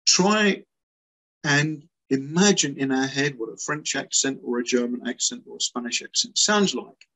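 A man in his sixties from England, a guest author on a video call from a small room at home, explaining with lively, emphatic repetition. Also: medium pitch, moderate pace, low-quality recording. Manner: animated, gestures as he explains; lively, emphatic with repeated words. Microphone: laptop webcam on a video call.

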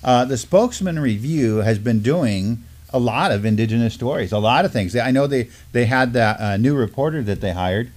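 A man's voice: mid-range voice, lively intonation, normal speed, a little mellow. Informal middle-aged man co-hosting news. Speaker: co-host. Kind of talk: casual news discussion. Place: small studio, seated at a table.

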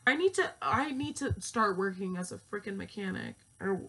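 Gravelly twenty-year-old woman rambling. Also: medium pitch, very animated, moderate pace, fairly bright tone, a little hiss or hum. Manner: rambling, profane, thinks aloud. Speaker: vlogger. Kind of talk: casual chat to camera. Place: small bedroom, indoors. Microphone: earphone mic, laptop webcam.

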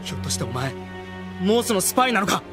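A brash young nobleman in an anime audio drama, high-voiced and swinging widely in pitch. He sounds petulant, insecure and quick to whine, with music behind him. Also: big pitch swings. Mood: confused, indignant. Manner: brash, rough-spoken, whining when cornered. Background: background music.

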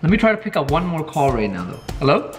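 Young guy vlogging at arm's length in his house, chatty and hyped, music under it. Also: a little high. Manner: excited, fast-talking, chatty. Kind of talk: casual vlog talk to camera. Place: living room of a house, indoors. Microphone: handheld camera at arm's length.

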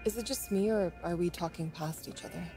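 A woman's voice: on the low side, a little fast, over music, natural voice. A young woman playing a blunt, independent athlete girlfriend in a video game, with a direct, unsentimental delivery. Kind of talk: naturalistic video game cutscene acting.

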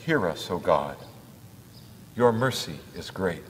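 An older man, a pastor, leading prayers in a church in a slow, measured liturgical cadence. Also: low voice, expressive, a little hiss or hum. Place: church sanctuary at a lectern. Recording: church hall with long reverb.

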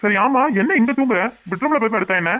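A man's voice: very high-pitched, animated, fast talker, fairly bright tone, no room sound. A man playing an affectionate, teasing young husband in a domestic drama, coaxing, familiar and apologetic. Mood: exasperated, affectionate. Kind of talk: domestic serial drama acting.

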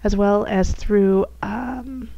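A woman with a hoarse, raspy voice from bronchitis, gently giving a tarot reading straight to her viewers. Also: mid-range voice, fairly even intonation, slow pace, no echo, highs cut off. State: hoarse from bronchitis, voice coming and going. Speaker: tarot reader and channel host. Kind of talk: soft spoken tarot card reading to viewers.

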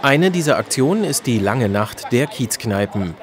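A man's voice: medium pitch, expressive, moderate pace. A man reading scripted promotional narration for a television report. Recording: voice-over with crowd sounds behind.

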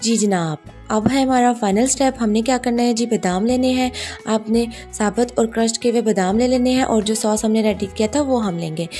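A woman hosting a home cooking video, guiding each step in a steady voice-over and often repeating "ye dekhiye ji". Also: a little high, fairly quick, soft background music. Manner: warm, reassuring, repeats "ye dekhiye ji" and "ji janab". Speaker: cooking channel host.

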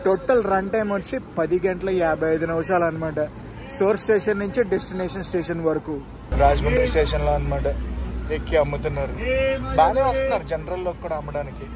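A man's voice: a little high, fast talker, crowd noise. A man vlogging a train journey at night, chatting to viewers as stations pass. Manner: chatty, addresses viewers directly. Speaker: travel vlogger. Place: inside a moving train at night. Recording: noisy train carriage recording. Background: train rattle and station noise.

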